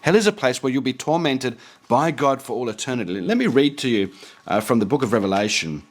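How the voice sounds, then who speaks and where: A man's voice: mid-range voice, animated, fairly quick. Earnest man preaching and reading aloud. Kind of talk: preaching commentary with read-aloud quotations.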